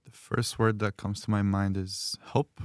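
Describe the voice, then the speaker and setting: A man's voice: lowish voice, flat delivery, measured pace, no room sound, clean studio sound. A young man from Syria who has lived in Canada, speaking casually as a student podcast guest. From Syria, later living in Canada. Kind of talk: casual round-table discussion.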